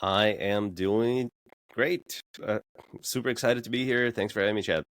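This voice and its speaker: A man's voice: lowish voice, normal speed, fairly bright tone. A man being interviewed as a guest, explaining things plainly and casually with frequent "uh" fillers. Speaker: guest, real estate developer.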